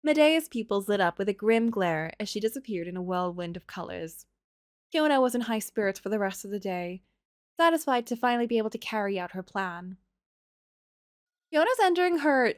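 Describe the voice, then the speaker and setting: A woman's voice: medium pitch, no echo, studio quality. A woman livestreamer reading a visual novel aloud, moving between story narration and casual commentary.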